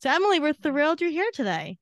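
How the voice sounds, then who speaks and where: A woman's voice: high-pitched, very animated, fast talker, no room sound. A woman co-hosting a podcast, chatting in an upbeat way and introducing a guest. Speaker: podcast co-host.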